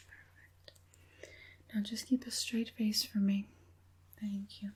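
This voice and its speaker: A woman voicing a sly, falsely soothing evil witch puppet master in a breathy, coaxing whisper for an ASMR roleplay. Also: mid-range voice, little pitch movement. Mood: softly focused. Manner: coaxing, unhurried, sinisterly sweet.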